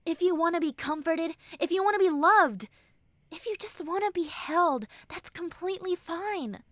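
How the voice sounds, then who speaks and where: Woman acting a high-voiced prickly tsundere girl with a soft heart. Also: no echo, studio quality. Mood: tender, reassuring. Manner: halting, sighing, informal delivery. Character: prickly tsundere young woman who turns tender and comforting. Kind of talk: roleplay audio acting addressed to the listener.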